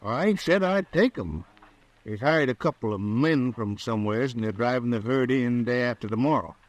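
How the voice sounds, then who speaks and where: Man as halting, folksy Western cattle buyer. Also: mid-range voice, no echo, lightly acted. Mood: earnest, confiding. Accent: American Western. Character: hesitant, plain-spoken, honest frontier cattle buyer. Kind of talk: old-time Western radio drama acting. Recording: vintage radio broadcast recording.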